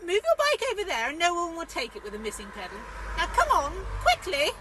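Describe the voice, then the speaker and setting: Woman acting flustered English lady driver, very high voice, lively swoops, bossy yet cheery, exclamatory, radio comedy play. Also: brisk, heavily acted. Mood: brisk, cheerful. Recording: noisy amateur recording with traffic ambience.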